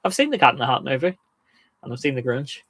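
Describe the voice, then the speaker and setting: A man's voice: medium pitch, sing-song, quick pace, fairly bright tone, no echo. A man with a slight lisp, speaking through his teeth in easy livestream chat. His voice sits in a middle range and is recorded close and dry, with no room around it. He laughs readily as he chats. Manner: chatty, laughs often. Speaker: co-host.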